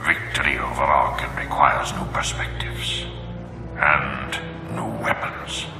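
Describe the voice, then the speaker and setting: An actor playing the solemn, commanding ghost of a fallen dark lord in a video game, speaking in grave, deliberate declamation. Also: male voice, fairly even intonation, in a whisper, exaggerated voice. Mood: stern, commanding. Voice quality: deep, gravelly. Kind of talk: dramatic video game acting. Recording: reverberant hall echo, music underneath.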